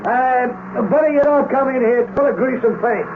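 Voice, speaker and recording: An actor playing a plain-spoken, embittered rural mechanic with a halting, folksy way of talking and a gravelly voice, in a radio drama. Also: male voice, extremely high pitch. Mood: flustered, guarded. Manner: folksy, halting, ungrammatical speech. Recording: narrow phone-like, faintly noisy radio broadcast.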